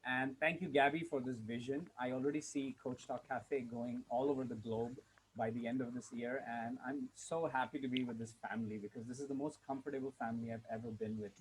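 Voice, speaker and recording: A man's voice: medium pitch, fairly even intonation, a little fast. A man from Hong Kong giving an earnest, enthusiastic personal testimonial to an online group. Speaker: public speaking trainer and coach. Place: online group video call. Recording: noisy online call, uneven level.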